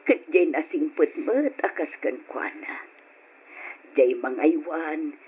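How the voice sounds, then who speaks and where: A woman playing a frail, confused elderly mother in a radio drama, stubborn yet doting, with a husky voice. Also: on the low side, full character voice. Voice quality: husky, raspy. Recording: dull lo-fi radio drama recording.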